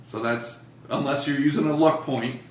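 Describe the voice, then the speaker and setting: A man runs an improvised tabletop game in a loose, profane, conversational way. His mid-pitched voice sits a little back from the mic in an echoey room with faint noise. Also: fairly even intonation, moderate pace, recorded from a distance. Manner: profane, colloquial. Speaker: game master of a tabletop horror game. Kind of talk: improvised narration and casual table talk.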